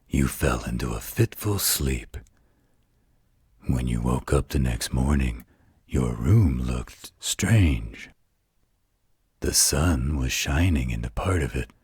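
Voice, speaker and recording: A man playing a gentle, patient werewolf who tells a bedtime story in a soothing, tender manner. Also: rumbling low, slightly rough, no room sound, clean studio sound, heavily acted. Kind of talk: intimate bedtime roleplay storytelling.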